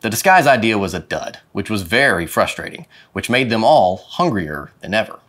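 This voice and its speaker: A man reading a children's picture book aloud with dramatic, expressive phrasing. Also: fairly low pitch, normal speed. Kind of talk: expressive storybook read-aloud. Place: indoor studio with plain dark backdrop.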